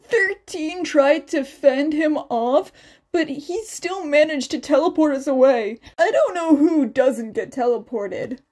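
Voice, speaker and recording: A woman's voice: high voice, animated, slow, a little mellow, voice pushed up. A woman voicing a sheepish, eager teenage schoolboy who earnestly recounts what happened, in an audio fan fiction reading.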